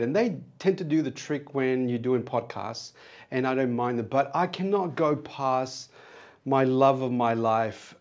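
Middle-aged man, nasal tone, mid-pitched, casual vlogger, chatty rambling explanations, clip-on mic, small treated room. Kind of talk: casual vlog, gear walkthrough. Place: small acoustically treated home studio, indoors. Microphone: wireless clip-on mic on chest.

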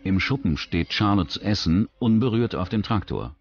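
A man's voice: lowish voice, animated, normal speed, dull audio. A man reading a scripted true-crime documentary as its narrator.

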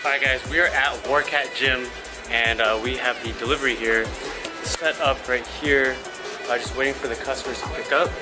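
A man's voice: mid-range voice, steady tone, normal speed. Upbeat young man vlogging, music behind. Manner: loose, upbeat, lots of fillers. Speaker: meal prep business owner. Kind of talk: casual vlog narration. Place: commercial kitchen and gym. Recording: loud background music.

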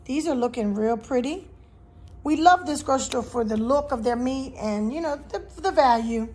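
A woman's voice: high voice, lively intonation, moderate pace, a little mellow, breathy edge. A woman vlogging a grocery haul in her home kitchen, chatty and casual, filming on a handheld phone. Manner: chatty, lots of "you guys" and "um". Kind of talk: casual chat to camera.

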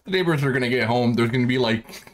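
A man's voice: mid-range voice, fast talker, low-quality recording. A man reacting to a video from home, rambling casually and swearing on a headset mic. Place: home room with green screen.

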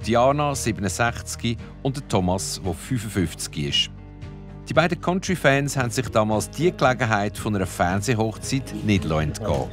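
Lively low narrator. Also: male voice, moderate pace, over music. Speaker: show host, narrator. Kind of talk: scripted TV voice-over narration.

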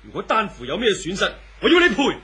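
A man's voice: sits high, huge pitch swings, fast, bright, forceful tone, husky, heavily acted. A man playing a hot-tempered lover in a 1970s radio drama, declamatory and intense, with a Hong Kong Cantonese accent. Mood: enraged, scornful. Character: hot-tempered, scornful man torn between two women. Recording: faint hiss on old radio recording.

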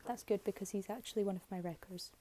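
A young woman with a slightly hoarse voice, giving low-key, halting commentary on an unboxing. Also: steady tone, background noise. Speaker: K-pop album unboxing vlogger. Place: bedroom, filming items on a bed.

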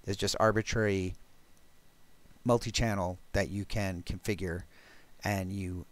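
A man presenting a technical programming demo, explaining step by step in a halting, thinking-aloud way. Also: sits low, steady tone. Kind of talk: technical talk with live code demo.

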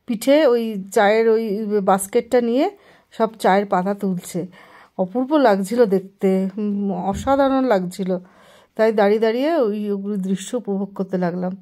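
A woman's voice: mid-range voice, dark tone. A woman giving voice-over narration of a trip, describing the views in an admiring way.

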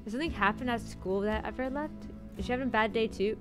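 A woman's voice: on the high side, fast talker, over music. A woman streamer reading a visual novel's narration aloud into a close mic.

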